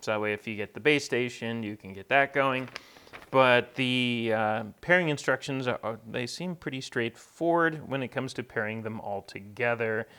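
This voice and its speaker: A man's voice: mid-range voice, fairly bright tone. A man giving casual, conversational unboxing commentary as a tech reviewer, explaining things as he goes.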